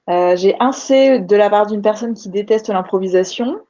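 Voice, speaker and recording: Female moderator, slow and hesitant, mellow tone, dull webinar audio. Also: mid-range voice. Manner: hesitant, many fillers. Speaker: webinar moderator reading audience answers. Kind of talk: reading out questions.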